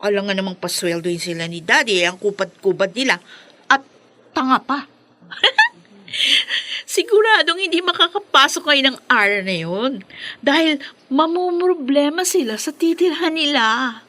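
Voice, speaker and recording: Sharp, high-pitched bratty Filipino rich girl. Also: expressive, unhurried, heavily acted. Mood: smug, scornful. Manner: sneering, bratty. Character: spoiled, haughty rich schoolgirl bully, scornful of the poor. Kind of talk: Filipino radio drama acting.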